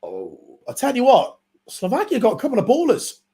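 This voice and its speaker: A man with a Welsh accent co-hosting a live football watchalong, giving quick match remarks and teasing banter. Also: extremely high pitch, wildly swooping pitch, dark tone. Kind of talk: casual live match commentary and chat.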